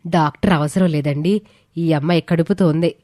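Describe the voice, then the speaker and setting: A woman's voice: deep voice, fairly even intonation, moderate pace, warm tone, dry recording, clean studio sound, close to an everyday voice. A woman voicing a caring middle-aged neighbour in a story, motherly, practical and reassuring. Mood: calm.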